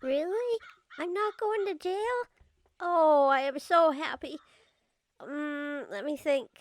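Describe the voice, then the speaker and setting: A woman's voice: high-pitched, slow pace, dry recording. A woman playing an earnest young scrapyard girl in an old-time radio mystery, chatty and naive with folksy kid phrasing. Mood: relieved.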